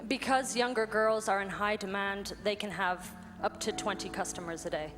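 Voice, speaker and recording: A woman's voice: mid-range voice, fairly even intonation, moderate pace. Woman in her twenties, echoing hall. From Ireland. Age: 20s. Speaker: anti-trafficking worker, guest speaker. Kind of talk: personal testimony in short phrases for interpreter. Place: large event hall. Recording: hall reverb, music behind, live PA. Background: music.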